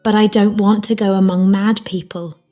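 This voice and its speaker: A polite, inquisitive storybook girl played by a woman, with a medium pitch. She talks precisely and takes everything literally, with soft music behind her. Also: level tone, moderate pace, natural voice. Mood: puzzled, polite. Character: polite, curious, literal-minded little girl.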